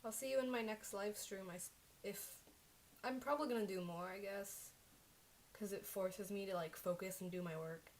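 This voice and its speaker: A woman's voice: medium pitch, fairly even intonation, normal speed, slight background noise. Young woman muttering through study notes. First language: Chinese. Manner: mutters to herself while checking answers, self-correcting. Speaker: livestreamer studying. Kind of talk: casual study-with-me livestream. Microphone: desk microphone.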